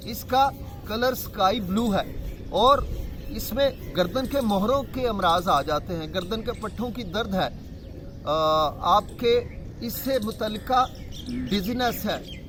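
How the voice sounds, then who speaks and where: A man teaching a health and yoga lesson, explaining in plain words and often pausing with fillers. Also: high-pitched, background noise. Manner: explanatory, with frequent hesitation fillers.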